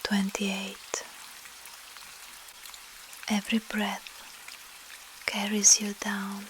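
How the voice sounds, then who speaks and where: A woman with a Russian accent softly whispers a soothing ASMR sleep hypnosis, in short phrases with long pauses, guiding the listener as a breathy hypnotist. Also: mid-range voice, slight background noise, poor audio. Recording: steady rain sound behind voice. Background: rain.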